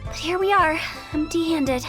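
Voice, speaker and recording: High, confident young funeral director girl. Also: over music. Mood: composed, assured. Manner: confident, brisk, theatrical. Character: lively teenage girl funeral parlor director, shrewd and playful. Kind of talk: video game voice acting.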